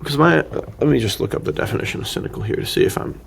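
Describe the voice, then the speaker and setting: A man's voice: medium pitch, animated, quick pace, creaky, slight background noise. A man co-hosting a podcast, discussing film casually and thinking aloud in a rambling, slangy way. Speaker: podcast co-host.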